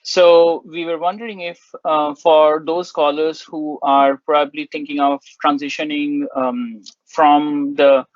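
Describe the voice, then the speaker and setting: A man hosts an online seminar interview with polite, halting questions. His voice sits a little high. The sound is dry and slightly dull. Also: little pitch movement, dry recording. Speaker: seminar host. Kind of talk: conversational interviewing.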